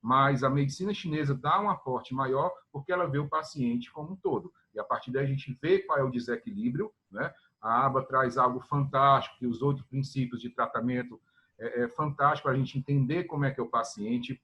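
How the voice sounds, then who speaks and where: A man's voice: mid-range voice, brisk, bright, forceful tone, dull audio. A man from northeastern Brazil, a guest professor giving an explanatory talk over a video call, often closing his phrases with tag questions aimed at his students. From Ceará, Brazil. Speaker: guest professor of Chinese medicine.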